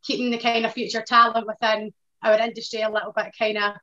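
Scottish woman, 30s, Glasgow accent, bright enthusiastic guest; dull lo-fi video-call audio. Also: a little high, flat delivery, fast talker. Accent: Glaswegian Scottish. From Scotland. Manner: upbeat, warm laughter, enthusiastic. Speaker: guest, hair colour director. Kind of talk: casual video-call interview. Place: living room with sofa, indoors. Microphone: video call laptop.

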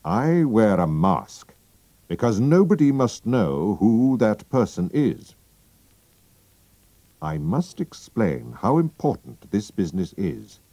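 A man's voice: fairly low pitch, very animated, slow, mellow, no room sound, pitched above the performer's own voice, heavily acted. A man reading a storybook aloud and voicing a proud, anxious king with a formal, stiff and urgent manner. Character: Bohemian king, tall, proud, anxious, middle-aged man.

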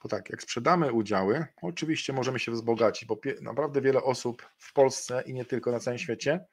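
Gravelly man presenting an online webinar. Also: mid-range voice, brisk, slight background noise. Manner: hesitant, many fillers and restarts. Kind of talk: investment webinar talk.

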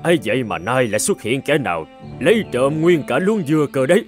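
A man voicing a grumbling but soft-hearted old farmer in an animated folk tale. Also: mid-range voice, a little fast, faint music behind, close to an everyday voice. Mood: puzzled.

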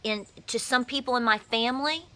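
Bright, animated woman on her porch. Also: a little high, brisk. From Appalachia, USA. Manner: animated, emphatic, gestures with her hands. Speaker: homesteading vlogger. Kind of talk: passionate straight-to-camera talk. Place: outdoors on a house porch. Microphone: phone camera at arm's length.

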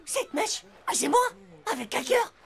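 A woman voicing a hot-headed, slangy schoolboy in a cartoon, with a gravelly voice and indignant, whiny protests. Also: high voice, sing-song, moderate pace, a crowd in the background, exaggerated voice. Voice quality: gravelly, creaky. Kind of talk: animated cartoon dubbing in French.

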